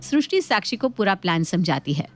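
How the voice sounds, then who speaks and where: A woman's voice: medium pitch, very animated, brisk, soft background music. A woman storyteller reading scripted narration for a moral story.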